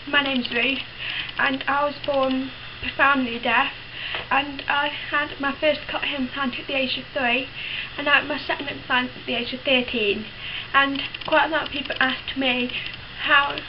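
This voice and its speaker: A young woman in her teens talking casually to camera, frank and chatty, with lots of fillers and restarts. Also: sits high. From United Kingdom. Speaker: vlogger. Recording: dull lo-fi sound with clear background hiss.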